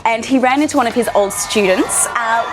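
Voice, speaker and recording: A woman's voice: on the high side, fairly quick, over loud music, low-quality recording. A woman giving celebrity news in a casual chat as a reporter.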